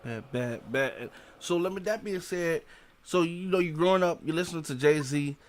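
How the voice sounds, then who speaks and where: A man's voice: fairly high pitch, normal speed. A man hosting a casual podcast interview in loose, slangy talk, often adding "you know what I'm saying". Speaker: podcast host.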